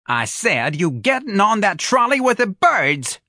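A man voicing a loud, blunt, working-class middle-aged mother who scolds her grown son in a shrill voice. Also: big pitch swings, brisk, no room sound, clean studio sound. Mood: scolding.

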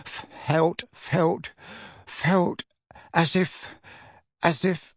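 A man voicing a spoiled teenage boy in an audiobook, dazed and shaken, mumbling haltingly in a hoarse voice. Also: sits high, no echo, studio quality, voice pushed up. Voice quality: hoarse, croaky.

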